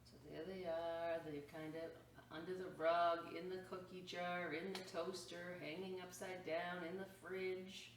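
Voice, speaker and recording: A woman reading a children's picture book aloud as a storytime reader, expressive and exclaiming, pausing to point out the pictures to children. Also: lowish voice. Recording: roomy echo, distant mic, faint noise.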